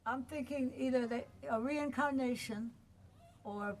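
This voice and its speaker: A woman in her sixties, raised in the United States and long settled in Jamaica, whose Jamaican-tinged accent colours her chatty, relaxed stories as an interview guest. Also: high-pitched, a little slow. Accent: Jamaican-tinged American. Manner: chatty, relaxed, laughs easily. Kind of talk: casual interview storytelling.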